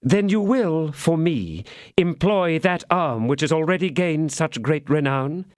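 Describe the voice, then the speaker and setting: A man voicing a scheming, vindictive noblewoman who speaks in a measured, coaxing way. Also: on the high side, lively intonation, no echo, studio quality, pitched above the performer's own voice.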